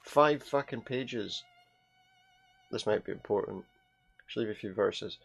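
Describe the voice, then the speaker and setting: A man's voice: on the low side, unhurried, gravelly, faint music behind. A British man commenting on a game, reading the on-screen text aloud with sarcastic, sweary asides.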